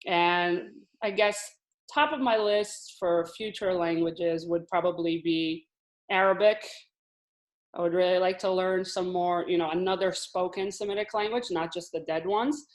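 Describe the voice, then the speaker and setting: A woman's voice: fairly low pitch, a little slow. A woman in her thirties, originally from Israel, talking casually as an interview guest about her life, and laughing often. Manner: chatty, laughs often, with restarts. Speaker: Hebrew teacher, guest.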